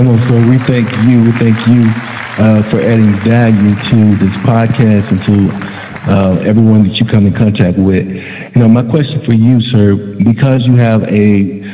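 A man's voice: on the low side, big-room sound, lo-fi sound. A man hosting an online talk show, praising and introducing guests with many fillers. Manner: effusive, repetitive praise with fillers.

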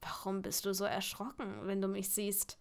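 Lady reading as a smug young guy, teasing, low-key, romance audiobook. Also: completely flat delivery, normal speed, natural voice. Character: smug, teasing young man, easily flustered, romantic lead.

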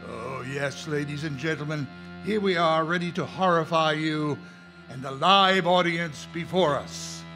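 A man's voice: a little high, a little slow. A man hosting in the style of old-time radio horror, announcing in a theatrical, mock-ominous manner. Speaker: show host, announcer. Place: lecture hall stage before live audience. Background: music.

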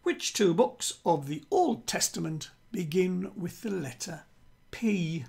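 An older man with a British accent hosts a quiz. His voice is husky and full of creak, and he takes his time. He delivers things deliberately, often saying them twice over. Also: sits high, animated, a little mellow. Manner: deliberate, repeats himself. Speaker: quiz host. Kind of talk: reading quiz questions to camera. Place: small room at home with bookshelves.

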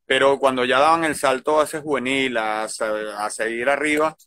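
A middle-aged man from Venezuela with a Venezuelan accent mixed with Galician Spanish, answering interview questions as a baseball manager, relaxed and hesitant, recorded outdoors on a phone. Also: medium pitch, moderate pace. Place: outdoors by a field.

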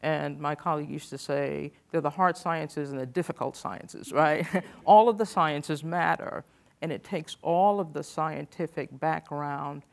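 Older woman speaking with a gravelly edge. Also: deep voice. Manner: measured, thoughtful, with frequent "uh" pauses. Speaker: panelist. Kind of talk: panel discussion. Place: stage of a conference panel. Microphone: lapel mic.

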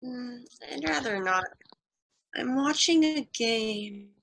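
A woman's voice: on the high side, expressive, takes it slowly, vocal fry, fairly bright tone, exaggerated voice. A young woman on a video call voicing a bored, dismissive male space telescope in an amateur table read. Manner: dismissive, uninterested. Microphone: video call webcam.

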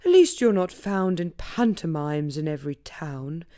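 A woman's voice: low-pitched, lively intonation, measured pace, warm tone. A woman voicing a grumbling cow that is fed up with pantomimes, in a storybook poem. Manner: frowning, grumbling.

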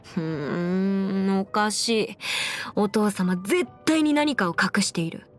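A woman voicing a confident, brisk young half-immortal lawyer in an anime game, with crisp, formal phrasing and music behind her. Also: medium pitch, lightly acted. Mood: indignant, determined. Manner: crisp, self-assured, formal mannish phrasing. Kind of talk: scripted anime game character voice lines. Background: background music.

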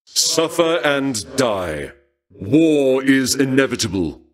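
A bloodthirsty war god with a grim, growling voice. He delivers slowly, his pitch rising and falling with menace. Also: very animated. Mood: menacing. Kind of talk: video game voice lines.

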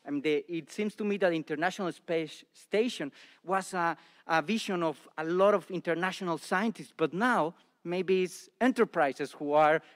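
A man from Mexico stands in the audience of a large lecture hall and asks a rambling, jokey question. His voice sits fairly high for a man. Long reverb from the hall trails behind his words. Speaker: audience member asking a question. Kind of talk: public Q&A question.

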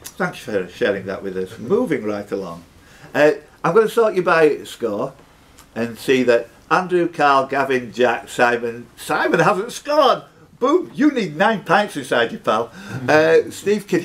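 A man's voice: mid-range voice, sing-song, a little slow, fairly bright tone. A man hosting a live quiz, reading out questions and scores and teasing the contestants. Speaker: quiz host and question master.

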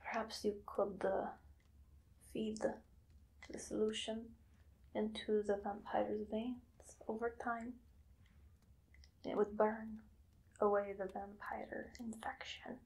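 A woman playing a menacing, obsessive female vampire hunter in a whispered ASMR roleplay, slow and deliberate. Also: medium pitch, little pitch movement, gravelly, poor audio. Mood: musing. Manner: slow, hushed, deliberate, dramatic pauses. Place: small dim room indoors.

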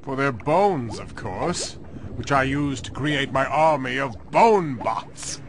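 An actor playing an evil, pompous false sun god in a cartoon game, speaking in slow drawn-out phrases. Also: male voice, mid-range voice, expressive, background noise. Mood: grandiose, sinister. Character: evil, grandiose false sun god, male alien being.

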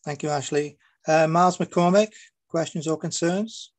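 A man's voice: fairly high pitch, normal speed. A man chairing an online meeting, calling on members one by one for their questions.

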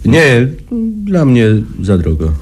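An actor playing a wiry, reticent middle-aged hunting guide in a radio drama, telling things in an understated, hesitant way. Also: male voice, mid-range voice, sing-song, takes it slowly, a little mellow, breathy edge, exaggerated voice. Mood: reserved. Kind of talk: Polish radio drama acting.

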